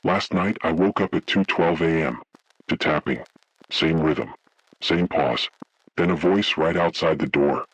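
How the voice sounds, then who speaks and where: Guy playing a haunted man telling a scary story, super deep raspy voice, slow ominous phrasing, grave and full of dread. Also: dry recording, exaggerated voice, low-quality recording. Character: haunted man recounting childhood motel horror, grave, dread-filled. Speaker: horror story narrator. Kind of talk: scripted first-person horror narration.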